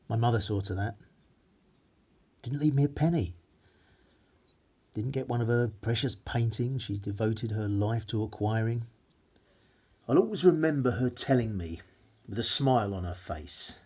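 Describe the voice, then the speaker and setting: A man's voice: medium pitch, darkish tone, slightly rough. An actor with a British accent playing a self-satisfied, penny-pinching money-saving vlogger who chats matter-of-factly to his viewers in a radio play. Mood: bitter. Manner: chatty, matter-of-fact, addressing viewers. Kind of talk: radio play monologue as a vlog.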